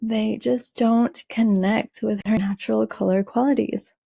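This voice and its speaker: A woman with a slightly raspy voice hosting a color analysis lesson, explaining in a measured, friendly way with some fillers and restarts. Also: fairly high pitch, steady tone, warm tone, no room sound. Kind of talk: explaining over a slideshow.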